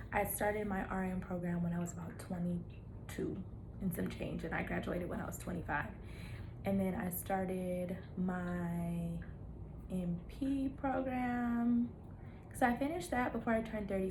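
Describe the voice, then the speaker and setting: Woman in her thirties, mid-pitched, chatty, encouraging, playful asides, faint room hum. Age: 30s. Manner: chatty, talks straight to viewers, encouraging; playful asides, laughs between thoughts. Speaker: nurse practitioner vlogger. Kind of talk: casual chat to camera.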